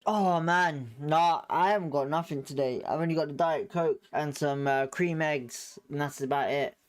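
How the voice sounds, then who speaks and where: Clear-voiced male fan doing match commentary. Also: a little high, moderate pace. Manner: chatty and opinionated, hedging his views. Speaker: fan watchalong host.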